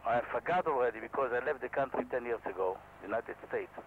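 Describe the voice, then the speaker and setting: An Israeli man, a helicopter instructor, speaking to camera in halting non-native English with a modest, self-deprecating manner. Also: medium pitch, slight background noise, rough recording. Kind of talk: candid talk to camera.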